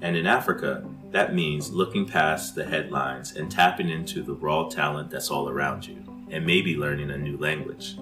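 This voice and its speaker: A man hosting a podcast, reading a scripted introduction in a measured way over guitar music. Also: a bass voice, fairly even intonation. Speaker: podcast host.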